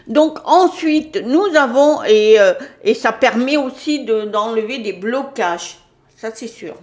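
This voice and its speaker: A woman's voice: fairly high pitch, lively intonation, slow. Woman chatting about spiritual stuff, lots of euhs and restarts, roomy echo. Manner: hesitant, many fillers and restarts. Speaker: spiritual channel host. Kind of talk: casual spiritual talk to viewers.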